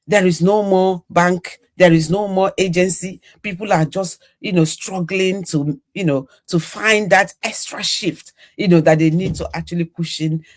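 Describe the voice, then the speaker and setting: A woman's voice: lowish voice, fairly even intonation, measured pace, few pauses. An older woman from Nigeria hosting a live online panel, chatty and full of "you know" fillers. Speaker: podcast host.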